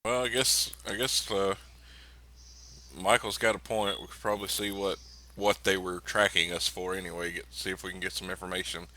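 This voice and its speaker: A man with a low-pitched, crisp and bright voice chats informally during a tabletop game. He is a player talking things through, hesitating as he works out what to say. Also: level tone, moderate pace. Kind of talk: casual group chat during a tabletop game.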